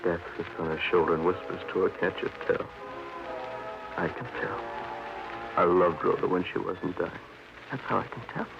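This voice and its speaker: Bitter drunk young american man, florid talk, gravelly voice, dull old radio recording w music behind. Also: low voice, steady tone, normal speed, long pauses. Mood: anguished. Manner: florid, poetic, mock-grand delivery. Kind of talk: 1940s radio crime drama acting.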